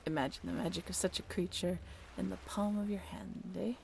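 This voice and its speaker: A woman playing a young, ambitious sailor of olden days in an audio drama, soft-spoken and wistful, with archaic seafaring phrases. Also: lowish voice, fairly even intonation, a little fast, creaky, slight background noise. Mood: hushed, wistful.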